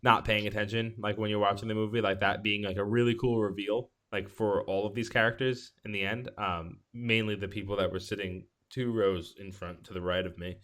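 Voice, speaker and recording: A man co-hosting a podcast, chatting casually about a film with a halting, rambling delivery. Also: fairly low pitch, steady tone, fairly bright tone. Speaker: podcast co-host.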